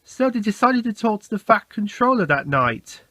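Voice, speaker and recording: A man's voice: sits high, very animated, normal speed, sharp tone, dry recording. A man reading a scripted children's story as narrator.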